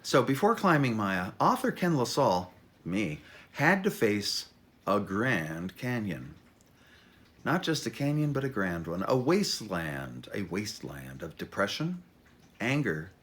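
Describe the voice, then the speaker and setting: Low-voiced man with sweeping, animated pitch. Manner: halting, self-interrupting, wry asides. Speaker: author reading from own memoir. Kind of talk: informal video talk with dramatic reading.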